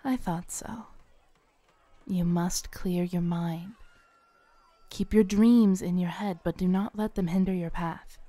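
Knowing female tarot reader, acted by a woman, audio roleplay; soothing, very slow delivery, dry close recording. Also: lowish voice, fairly even intonation, no room sound. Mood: gently advising. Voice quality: smooth, soft. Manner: unhurried, soothing, theatrical, with dramatic pauses. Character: kindly, playful, knowing Renaissance faire tarot reader woman. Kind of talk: soft-spoken audio roleplay addressed to the listener. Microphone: close studio mic, dry.

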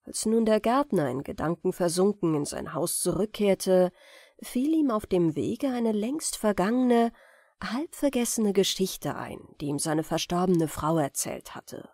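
A woman's voice: mid-range voice, animated, measured pace, a little mellow, no room sound, studio quality. A woman reading a fairy tale as scripted audiobook narration for bedtime.

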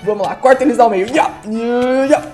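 A young man from Brazil, giving excitable, chatty gameplay commentary on a headset from his home gaming room, sounding congested from a cold. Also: very high-pitched, normal speed, faint music behind. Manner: excitable, chatty, addresses viewers. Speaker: gaming YouTuber.

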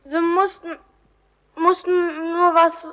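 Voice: extremely high pitch, level tone, takes it slowly, bright, forceful tone, full character voice. A young performer playing a sheepish, defensive child caught making mischief in a children's radio play.

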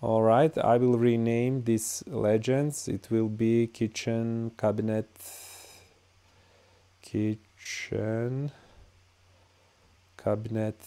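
A man with a Bosnian accent, whose native tongue is Bosnian, walks through a software tutorial in English, pausing often with "uh" and "all right". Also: mid-range voice, flat delivery, clear, resonant tone. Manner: halting, many "uh" and "all right" fillers. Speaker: tutorial host. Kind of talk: screen-recorded software tutorial.

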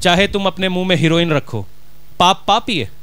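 High-voiced Punjabi pastor preaching. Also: male voice, a little fast, fairly bright tone, smooth, dry recording. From Punjab, India. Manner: emphatic preaching with rhetorical questions and Punjabi words. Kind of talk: sermon. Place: church stage, indoors. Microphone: handheld mic.

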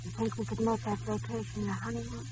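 An actress playing a devoted young fiancée, affectionate and coaxing, in 1940s radio drama style. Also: female voice, a little high, level tone, fast talker, natural voice. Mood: soothing. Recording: hissy 1940s radio recording.

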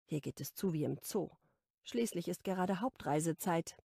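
A woman reading a romance audiobook in calm first-person narration, her voice carrying a touch of vocal fry. The sound is dry and close, with no room around it. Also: fairly low pitch, normal speed, dry recording. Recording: dry close studio recording.

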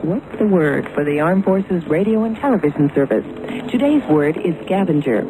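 A man reading a scripted word-of-the-day segment on military radio, in an even manner, heard through a noisy shortwave recording. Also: a little high, moderate pace, over loud music. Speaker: radio announcer.

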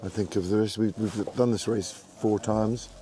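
A man's voice: lowish voice, fairly even intonation, moderate pace, mellow. A man, a yacht sailor describing a race in an easygoing, rambling way, outdoors at night. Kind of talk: post-race interview.

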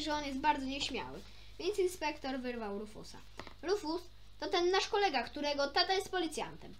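A woman reading a children's story aloud expressively, occasionally stumbling and rereading a phrase. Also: high voice.

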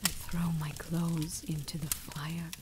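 An actress playing a wounded, vengeful captive woman in a radio play, whispering her monologue in a slow, breathy manner. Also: deep voice, level tone, background noise. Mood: bitter, intense.

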